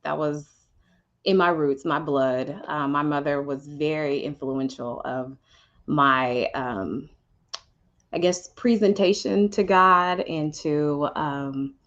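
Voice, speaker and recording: A woman guest recounts her faith journey in a low voice, thinking aloud with frequent ums and restarts. She is from Houston, Texas. The audio sounds muffled and lo-fi. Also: takes it slowly, a bit bright. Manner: reflective, many ums and restarts. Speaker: podcast guest. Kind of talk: personal testimony in conversation.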